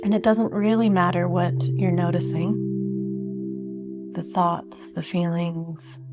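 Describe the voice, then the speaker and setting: A woman leads a guided meditation in a gentle, lowish voice with very little rise and fall. She leaves long pauses between her unhurried instructions. Prominent singing bowl tones ring behind her words. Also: steady tone. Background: meditative singing bowl and sine-tone music.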